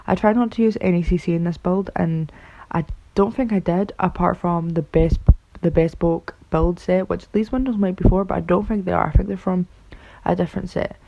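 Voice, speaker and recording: A woman with a raspy voice from being ill, rambling through casual voice-over commentary on a game build, full of fillers and unsure asides. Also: fairly low pitch, moderate pace, dark tone, a little hiss or hum. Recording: dull lo-fi recording.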